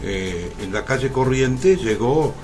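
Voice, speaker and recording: An Argentine man, an actor being interviewed on radio, speaking reflectively in deliberate phrases broken by pauses. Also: medium pitch, expressive, darkish tone, soft background music. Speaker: interview guest, stage actor.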